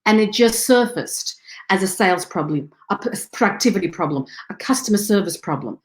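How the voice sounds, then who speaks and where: A woman's voice: medium pitch, animated, brisk, roomy sound. A young adult woman from Afghanistan, now working in Australia, giving an online training on speaking and sales with punchy, motivational energy. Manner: upbeat, punchy motivational delivery with rallying catchphrases.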